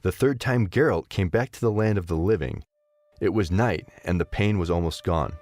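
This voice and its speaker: A man's voice: sits low, moderate pace, darkish tone, dry recording, faint music behind. A man narrating a scripted story as the narrator of an audio drama.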